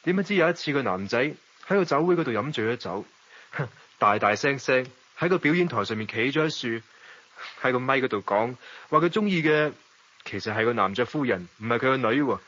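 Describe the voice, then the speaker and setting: An actor playing a suave, worldly gentleman narrating and talking in a radio drama, with a Hong Kong Cantonese accent. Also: mid-range voice, lively intonation, fairly quick. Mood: amused, storytelling. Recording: dull lo-fi audio, noisy background.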